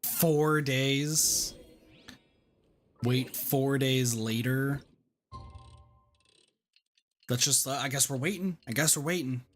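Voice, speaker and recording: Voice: medium pitch, slow pace, pauses often, soft background music. A game streamer commenting casually and informally, often repeating their own phrases.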